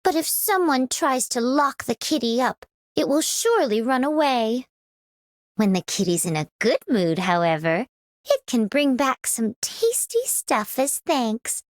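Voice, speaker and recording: A woman's voice: sits high, very animated, unhurried, no room sound, clean studio sound. A woman voicing a playful, coy, independent cat-like young woman in a video game. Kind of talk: video game character voice lines.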